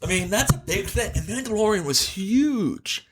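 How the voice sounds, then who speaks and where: A man's voice: high-pitched, animated, normal speed, slight background noise. A man hosting a podcast, giving his opinions in casual, emphatic chat and putting questions to his co-host.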